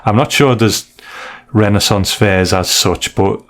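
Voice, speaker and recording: A man's voice: fairly low pitch, a little slow, a bit bright. A British man in a casual podcast chat, giving candid, conversational reviews of stories as a co-host. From United Kingdom.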